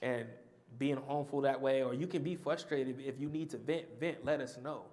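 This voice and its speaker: Man, panel answer, conversational, repeats himself, middle pitch. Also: normal speed, darkish tone. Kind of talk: answering audience question.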